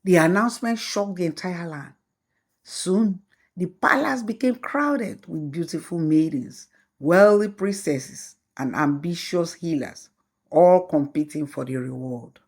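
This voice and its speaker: Slow, low-voiced woman narrating a folktale. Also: animated, slightly rough. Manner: slow, measured storytelling. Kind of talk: scripted storybook narration.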